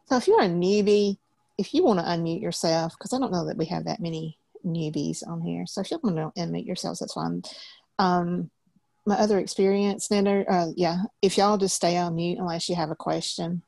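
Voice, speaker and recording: A woman's voice: on the low side, moderate pace, creaky, darkish tone, no room sound. A woman in her forties patiently teaching a beginner loom knitting class over a video call, step by step. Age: 40s.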